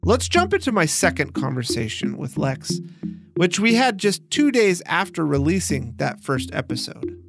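A man's voice: on the high side, sing-song, normal speed, gravelly, over music. A man hosting a podcast, speaking as a narrator and conversational interviewer.

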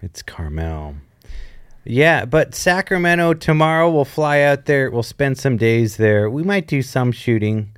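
A man's voice: mid-range voice, lively intonation, measured pace, smooth, no room sound, clean studio sound. A man co-hosting a podcast in casual chat, rambling and joking, often interrupting himself. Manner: rambling, self-interrupting, jokey. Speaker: podcast co-host.

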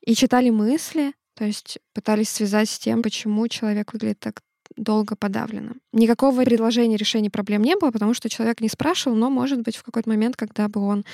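A woman co-hosting a podcast, calmly explaining a topic and acting out short examples. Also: on the high side, creaky, no echo, studio quality. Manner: calm, explanatory. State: sick, sore throat. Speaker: podcast co-host.

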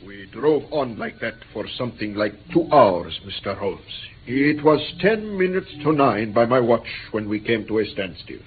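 Courteous, easily flustered gentleman interpreter played by a man, very animated intonation, vintage radio drama, tinny recording. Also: medium pitch, normal speed, hardly any pauses, close to an everyday voice. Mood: grave recounting. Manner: formal, earnest, flustered when alarmed. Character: courteous, excitable Greek male interpreter, easily indignant. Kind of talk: mid-20th-century British radio play acting. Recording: tinny, slightly noisy old radio recording.

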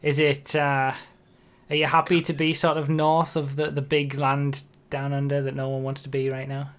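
A man's voice: mid-range voice, monotone, moderate pace. A man hosting a podcast, asking questions casually with many restarts and repeated words. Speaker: podcast host. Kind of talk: casual chat.

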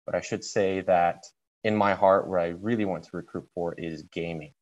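A young man from Brazil co-hosting a student podcast, reading announcements in a steady way. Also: low voice, moderate pace, highs cut off. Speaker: podcast co-host. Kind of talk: reading announcements, then casual chat.

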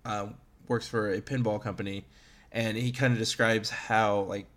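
A man's voice: on the low side, steady tone, normal speed, a bit bright. A man in his early thirties chatting casually as a podcast host about video games, in a rambling, self-deprecating way. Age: early 30s.

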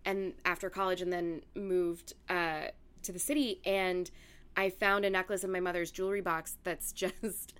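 A young woman with a husky, worn-out voice co-hosts a podcast. She chats loosely and laughs easily. She drifts into telling a story at a relaxed conversational pace. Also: moderate pace. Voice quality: deep raspy voice from yelling. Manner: chatty, laughs while talking. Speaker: podcast co-host. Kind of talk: casual chat and storytelling.

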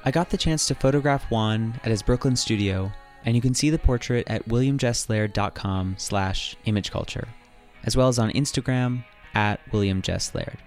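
A man hosting an interview podcast: he reads a scripted intro, then asks relaxed, friendly questions. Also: mid-range voice, moderate pace, faint music behind. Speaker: podcast host. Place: artist's studio.

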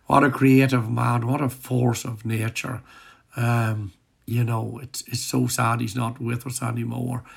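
A man hosting a radio music show, chatting casually between tracks, his voice croaky as he gets over an illness. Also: medium pitch, flat delivery, unhurried. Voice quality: gravelly, husky. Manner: chatty, rambling with fillers.

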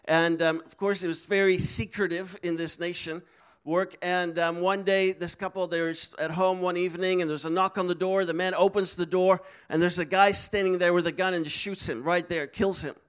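A man's voice: high-pitched, fairly even intonation, normal speed, a bit bright, clear, resonant tone. A young man giving an upbeat, encouraging talk and calling out to a live audience at a missions school. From Netherlands. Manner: upbeat, encouraging, calls out to the crowd.

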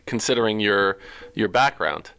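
A man's voice: fairly low pitch, moderate pace, gravelly, sharp tone. A man hosting an interview, asking questions conversationally with hesitant, searching phrasing. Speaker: interviewer, host.

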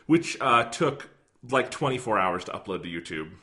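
Slightly hoarse man chatting on a podcast. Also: lowish voice, animated, normal speed, sharp tone. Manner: rambling, self-deprecating, many fillers. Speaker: podcast co-host. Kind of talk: casual podcast chat.

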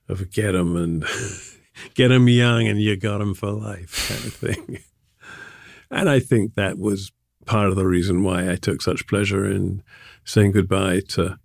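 An older man from England, answering interview questions in a thoughtful, halting way with soft laughs between thoughts. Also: lowish voice, gravelly, no room sound, clean studio sound. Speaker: artist being interviewed. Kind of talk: reflective conversational interview.

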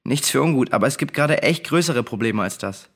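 A woman's voice: rumbling low, lively intonation, brisk, husky, exaggerated voice. A woman acting a curious, concerned child in a German children's radio play, candid and questioning.

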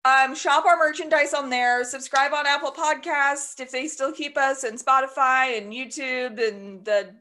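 A woman with a high voice co-hosts a loose, boozy chat. She banters, swears and rambles, sounding worn out and up well past her bedtime. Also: moderate pace, few pauses, pushed and bright. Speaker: podcast co-host. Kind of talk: casual drunk chat.

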